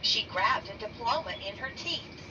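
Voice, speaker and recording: A woman reading a children's picture book aloud slowly and deliberately, raising her pitch for the characters' lines. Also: expressive. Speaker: read-aloud narrator. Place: bedroom, indoors. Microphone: phone camera held over the book. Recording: dull lo-fi sound from a distance with noise.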